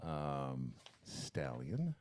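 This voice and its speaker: A man's voice: extremely low pitch, huge pitch swings, very slow, darkish tone. A man co-hosting a show in casual banter, reading comments aloud. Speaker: show co-host.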